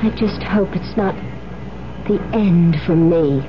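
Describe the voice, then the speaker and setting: A woman's voice: on the low side, slow, over music. A woman playing a frightened, hesitant young bride in an old-time radio thriller, anxious and pleading. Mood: uneasy. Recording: muffled old radio recording with noise, hum.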